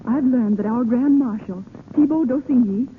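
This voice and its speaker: A woman's voice: fairly high pitch, normal speed, narrow, tinny audio, natural voice. An actress playing a proud, earnest French noblewoman with formal, dramatic diction in an old radio drama. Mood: earnest pleading. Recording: thin, noisy old radio recording.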